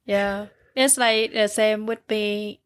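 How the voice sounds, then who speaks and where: A woman's voice: medium pitch, fairly even intonation, slow. A young woman from Vietnam with a thick Vietnamese accent, a bakery owner telling her story in a podcast interview, using simple broken English with fillers. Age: young adult. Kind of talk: casual interview chat.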